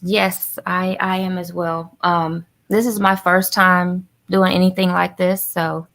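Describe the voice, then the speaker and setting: A woman's voice: fairly low pitch, flat delivery, slow, fairly bright tone. A woman calling in to audition, chatting politely and casually. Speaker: first-time auditioning caller.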